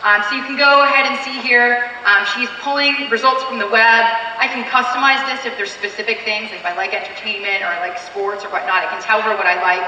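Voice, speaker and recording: A woman's voice: fairly high pitch, fairly even intonation, a little fast, hardly any pauses, sharp tone, a little hiss or hum. Upbeat Canadian woman presenting on stage. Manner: upbeat, chatty, filler-heavy. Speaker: keynote presenter. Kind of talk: live product demo on stage. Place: large convention hall stage. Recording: distant mic in echoey hall.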